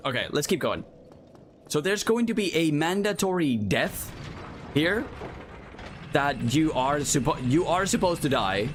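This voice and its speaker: A man's voice: a little high, very animated, a little slow, long pauses, slight background noise. A man from Finland, chatting as a streamer over live gameplay and reading chat aloud, often apologizing.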